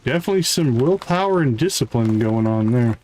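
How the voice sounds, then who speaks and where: A man's voice: medium pitch, animated, a little fast, darkish tone. A man giving casual live game commentary as a streamer, thinking aloud and chatting to viewers.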